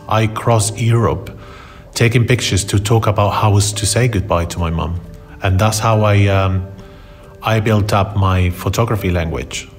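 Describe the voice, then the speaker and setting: A man from Spain with a Spanish accent, telling personal stories in conversation in a halting way, repeating words for emphasis. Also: on the low side. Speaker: interview guest, photographer.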